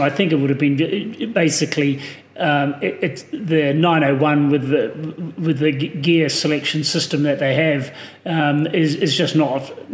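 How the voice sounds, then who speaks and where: Hesitant New Zealand man, slightly high. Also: echoey room. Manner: halting, many ums and restarts. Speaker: podcast guest, car owner. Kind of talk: casual podcast chat.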